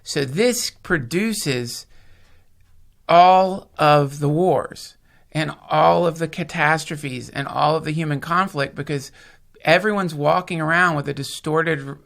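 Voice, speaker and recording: A man's voice: on the high side, slow, creaky, a bit bright. A man from the United States, a podcast host, rambling in casual chat with profanity and emphatic points. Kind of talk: casual conversation.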